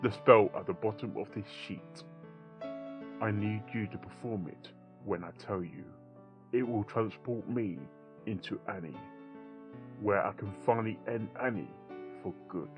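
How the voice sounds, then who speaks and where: A man's voice: on the low side, measured pace, music in the background. A man voicing a grave, determined male pony doctor with an earnest, dramatic delivery in a story reading. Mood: resolved.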